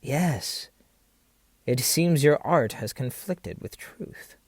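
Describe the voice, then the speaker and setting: Male actor for volatile captain in period audio drama; theatrical, indignant, very animated delivery, close dry sound. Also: a little high, slow, dry recording. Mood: bitter, restrained. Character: volatile, proud, accused sea captain and husband. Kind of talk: stage-play acting in an audio drama.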